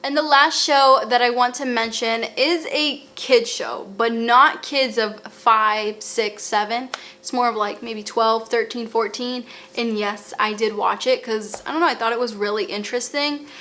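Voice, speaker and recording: A woman's voice: on the high side, steady tone, measured pace, pushed and bright, a little hiss or hum. A woman with a Boston accent, casually recommending TV shows in a rambling, chatty way with fillers and restarts. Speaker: lifestyle vlogger.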